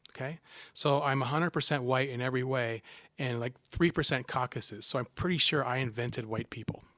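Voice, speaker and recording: A middle-aged man from Southern California, a psychology professor telling stories as a guest in a casual interview, joking at his own expense with quick asides. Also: mid-range voice, no echo. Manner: animated, self-deprecating jokes, quick asides.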